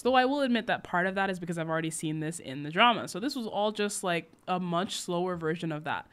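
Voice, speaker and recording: A woman's voice: lowish voice, a bit bright, clear, resonant tone. A young woman, a book recap vlogger, narrating casually with wry, sarcastic asides.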